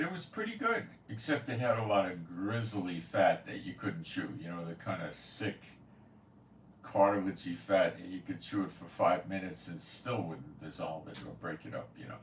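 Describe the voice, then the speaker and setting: A man hosting a prophecy commentary channel, talking in a casual, rambling monologue with a blunt, scoffing, opinionated manner. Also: sits low. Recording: echoey room, far from the mic, faint noise.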